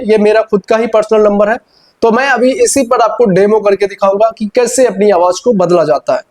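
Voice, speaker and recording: Male tech tutorial host, Indian accent, high-pitched, explanatory delivery with English terms mixed in. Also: a little mellow. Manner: explanatory, mixes in English words. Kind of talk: phone tutorial voice-over.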